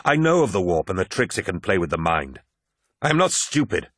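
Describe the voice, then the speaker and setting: A man's voice: low-pitched, sing-song, fairly quick, fairly bright tone, no echo, studio quality, full character voice. A man voicing a proud, commanding warlord in an audiobook, defiant and suspicious, with an imperious, emphatic delivery. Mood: scornful.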